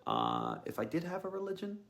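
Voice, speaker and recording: Creaky-voiced man musing aloud. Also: high voice, huge pitch swings, fairly quick, fairly bright tone. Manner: musing, tentative, thinking aloud. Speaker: podcast host. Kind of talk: reflective personal monologue to listeners.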